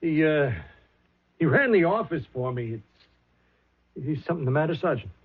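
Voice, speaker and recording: An actor playing a defensive, rough-spoken factory owner being questioned about a death. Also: male voice, on the high side, expressive, normal speed. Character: gruff, defensive male factory boss. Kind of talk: 1970s radio drama acting. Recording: tinny old radio broadcast.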